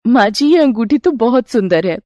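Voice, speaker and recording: A woman voicing a polite, easily amazed young daughter-in-law in a Hindi cartoon moral tale, respectful and expressive. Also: a little high, normal speed, dry recording, highs cut off, close to an everyday voice. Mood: polite, concerned. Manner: respectful, wide-eyed, expressive. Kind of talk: cartoon storybook character voice.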